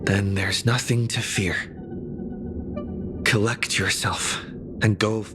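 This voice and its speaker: Low, slow, brooding figure. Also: male voice, steady tone, music in the background. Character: brooding, solemn, reserved man. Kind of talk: video game voice acting.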